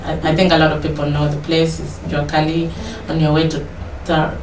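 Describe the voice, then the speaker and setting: A woman's voice: low-pitched, completely flat delivery, fairly quick. A Kenyan woman with a Kenyan English accent, probably in her thirties, telling her life story in a casual interview, rambling and correcting herself as she goes. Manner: rambling, self-correcting, laughs while telling. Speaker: interview guest. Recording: echoey, distant mic with background noise.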